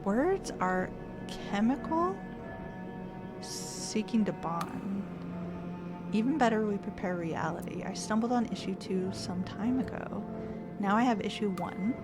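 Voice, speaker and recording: Woman gamer, unhurried, mid-pitched, reads on-screen text, game music under. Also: expressive. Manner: chatty, thinks out loud, laughs and mulls puzzles. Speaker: gaming streamer. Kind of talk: let's play commentary, reading game text aloud.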